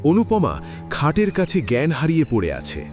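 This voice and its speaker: A man narrating a classic story in an audio drama, with expressive storytelling. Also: fairly high pitch, normal speed, over music.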